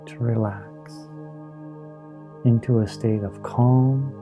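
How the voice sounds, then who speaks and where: A man leading a guided meditation in a slow, soothing, hypnotic manner, with long pauses between short phrases. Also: mid-range voice, steady tone, narrow, tinny audio. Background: ambient new-age music with singing bowls.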